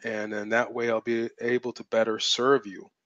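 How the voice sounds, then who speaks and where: A man's voice: mid-range voice, level tone, moderate pace, fairly bright tone, dry recording, highs cut off. A man hosting a live webinar as a business mentor, talking bluntly and assertively, repeating points for emphasis, his voice strained and cracking. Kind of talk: live-streamed webinar teaching.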